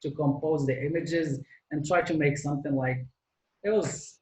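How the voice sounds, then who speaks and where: A man's voice: fairly high pitch, steady tone, normal speed, a little mellow. Moroccan man, rambling with echo. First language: not English; French second language. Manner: rambling, many fillers and restarts. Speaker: guest artist. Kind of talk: casual interview chat.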